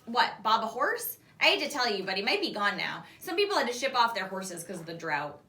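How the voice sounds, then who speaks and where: A woman's voice: mid-range voice, sing-song, a little fast, sharp tone, roomy sound, far from the mic. A woman voicing a shy, casual young girl in a storybook, speaking in an offhand, understated way. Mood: apologetic.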